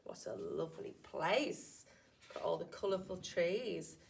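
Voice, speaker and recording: A woman's voice: lowish voice, expressive, measured pace, gravelly. A woman, a primary school teacher, reading a picture book aloud expressively to young children with asides.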